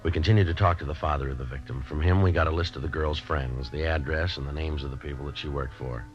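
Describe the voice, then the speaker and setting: A man playing a businesslike, polite police detective in a radio crime drama, speaking and narrating in a clipped, deadpan, matter-of-fact way. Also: extremely low pitch, fast, soft background music. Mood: flat, factual. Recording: muffled old-time radio recording.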